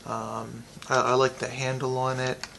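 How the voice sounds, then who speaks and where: Gravelly man giving a casual product review. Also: mid-range voice, level tone, measured pace, a bit bright, slight background noise.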